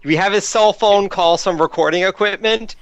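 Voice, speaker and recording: A man's voice: sits high, normal speed, clear, resonant tone. A man talking casually as a player in a group tabletop game. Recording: muffled lo-fi mic, music behind.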